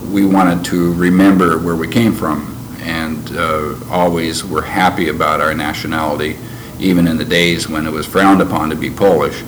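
An older man who grew up in Canada, recalling his past in an interview in a measured, reflective way with frequent pauses. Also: a bass voice, level tone. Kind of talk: oral history interview. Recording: noisy background ambience.

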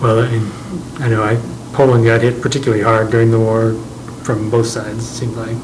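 A man's voice: mid-range voice, level tone, moderate pace. A man interviewing someone, asking brief, attentive questions and giving short acknowledgments. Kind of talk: oral history interview. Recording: noisy background ambience.

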